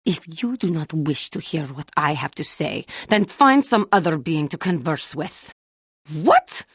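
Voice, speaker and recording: Game role: haunted, self-doubting alien warrior woman, low and husky, earnest formal delivery with simmering temper. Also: sing-song, fairly bright tone, dry recording, clean studio sound, heavily acted. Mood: bitter, indignant. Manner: formal, measured, earnest speech with guarded intensity. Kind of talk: video game character dialogue.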